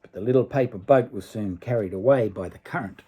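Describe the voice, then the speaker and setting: A man's voice: on the low side, fairly quick, dark tone, a little hiss or hum. An older man reading a children's story aloud expressively, dramatically, with asides to a young listener. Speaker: storybook reader.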